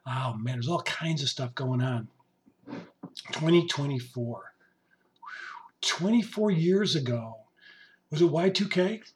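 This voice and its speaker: A man hosting his podcast in casual monologue, his voice hoarse and raspy, rambling and joking as he talks to his musician. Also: fairly high pitch, animated, measured pace, pauses often, background noise. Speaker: podcast host. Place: basement home studio.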